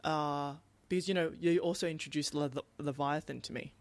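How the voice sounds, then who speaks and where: A woman's voice: sits low, fairly even intonation, fairly quick, no room sound. A woman chatting casually about books as a podcast co-host, informal and conversational, often voicing her own thoughts as quotes. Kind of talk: casual book discussion chat.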